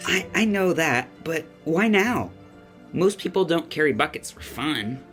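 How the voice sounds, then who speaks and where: A woman's voice: deep voice, animated, a little slow, fairly bright tone, soft background music. A woman playing a curious, clever, polite young boy in a family audio drama, earnest and inquisitive. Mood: puzzled, polite.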